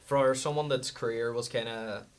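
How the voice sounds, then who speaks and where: A man's voice: medium pitch, steady tone, normal speed. A man chatting casually as co-host of a film podcast.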